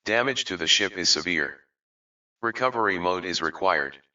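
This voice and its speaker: A man voicing a spaceship's computer with flat, clipped announcements. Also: low voice, moderate pace, highs cut off, voice pushed down, full character voice. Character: calm male ship computer.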